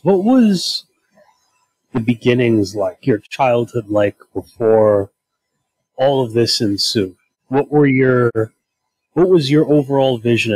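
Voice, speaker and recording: A man's voice: mid-range voice, a little mellow, no room sound, poor audio. A man hosting a podcast interview, asking long, meandering questions with hesitations. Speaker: podcast host.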